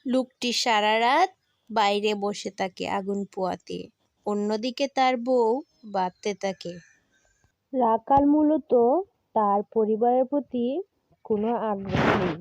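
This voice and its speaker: Female cartoon narrator: medium pitch, slow storybook delivery, scripted. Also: a little mellow, dry recording.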